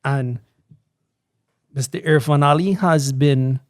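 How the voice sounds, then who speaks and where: A man's voice: mid-range voice, takes it slowly, no room sound, clean studio sound. A man from Guyana with a Guyanese accent, a guest talking earnestly and proudly in a casual podcast chat. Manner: proud, earnest, laughs often. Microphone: studio podcast mic.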